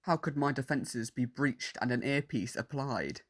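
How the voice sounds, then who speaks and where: A man's voice: mid-range voice, a little slow, a bit bright. A man playing a cold, hostile cyborg warrior who despises humanity, speaking in stiff, formal declarations in a fan audio drama. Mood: cold, defiant.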